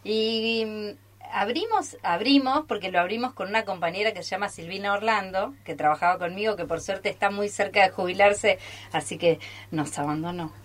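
A woman's voice: medium pitch, normal speed. A middle-aged woman with an Argentine accent, a theatre teacher chatting as a guest in a radio studio. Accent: Argentine Spanish. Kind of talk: casual group interview.

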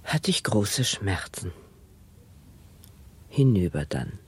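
A resigned dead plague victim in radio drama, husky and low, unhurried, laconic. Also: male voice, big pitch swings, full character voice. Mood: mournful, resigned. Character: plague-dead mother recounting her death and burial. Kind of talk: solemn 1960s radio play monologue.

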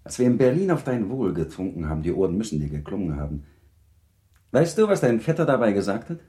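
An actor playing a courteous, affectionate middle-aged baron in a radio play, gentlemanly and gently probing in manner. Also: male voice, lowish voice, sing-song, fast. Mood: affectionate, teasing. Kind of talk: German radio play acting.

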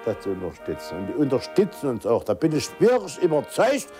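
A man's voice: medium pitch, huge pitch swings, fairly quick. A man from East Germany speaking spontaneously and emphatically, repeating phrases for stress. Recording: music playing behind.

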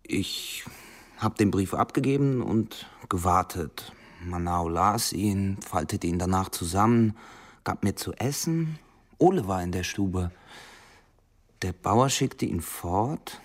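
An actor in a literary German radio drama playing a poor, jealous suitor, intense and insistent, with a menacing, possessive love. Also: male voice, low-pitched, expressive, takes it slowly, exaggerated voice. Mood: grave, reporting.

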